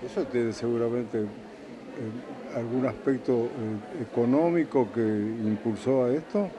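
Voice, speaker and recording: A man asking interview questions in a halting, probing manner. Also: medium pitch. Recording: crowd murmur behind. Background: crowd.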